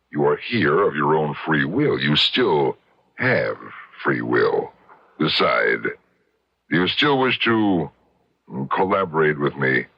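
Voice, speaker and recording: An actor playing a grave, menacing tempter who offers a costly bargain in a radio mystery drama. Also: male voice, rumbling low, dry recording, exaggerated voice. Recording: dull old radio recording.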